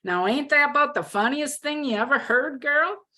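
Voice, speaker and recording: A woman's voice: high-pitched, lively intonation, normal speed, pushed and bright, pitched above the performer's own voice. A woman voicing a tall, amused college student from Texas, with a playful, exaggerated Texan drawl in a story reading. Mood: teasing.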